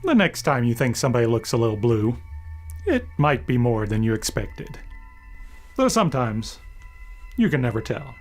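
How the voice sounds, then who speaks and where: A man's voice: medium pitch, very animated, moderate pace, pauses often, soft background music. A man reading a scripted, eerie outro as a show host.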